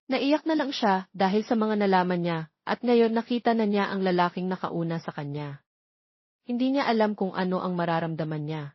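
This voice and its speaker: A woman's voice: mid-range voice, expressive, normal speed, dry recording, highs cut off. A woman narrating romance fiction as a scripted, dramatic story reading.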